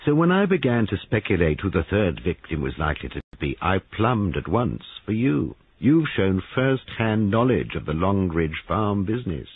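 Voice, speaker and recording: A man voicing a brisk, self-satisfied young man posing as a policeman in an audiobook. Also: sing-song, slightly rough, dry recording, exaggerated voice. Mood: accusing. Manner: brisk, confident, lifting pitch. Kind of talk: audiobook character voice. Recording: narrow phone-like sound, dry.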